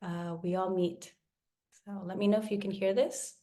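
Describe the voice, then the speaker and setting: A woman whose first language is Tagalog guides a group through a meditation over a video call. Her voice sits at an ordinary middle pitch, and she speaks softly and reflectively, pausing and restarting as she goes. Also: fairly even intonation. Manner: slow, soft and reflective, with pauses and restarts. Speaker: co-facilitator of a spiritual gathering.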